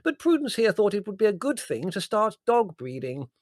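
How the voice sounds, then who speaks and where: A man voicing a hearty, hard-of-hearing old great-aunt in a storybook reading, exclaiming loudly and cheerfully oblivious. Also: sits high, big pitch swings, moderate pace, no room sound, clean studio sound, pitched above the performer's own voice. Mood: proud, oblivious. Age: older. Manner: loud, exclaiming, interrupts with stray remarks.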